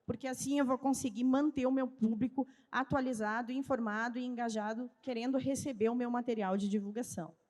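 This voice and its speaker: A woman's voice: a little high, steady tone, brisk, hardly any pauses, darkish tone. A woman with a southern Brazilian gaúcho accent giving a didactic conference talk, asking questions and answering them herself. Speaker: speaker at a trade fair. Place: large event hall with a live audience. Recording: long hall reverb.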